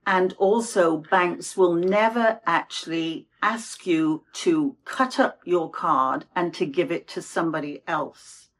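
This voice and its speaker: A woman host giving an informational talk in a measured, deliberate, explanatory way. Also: on the low side.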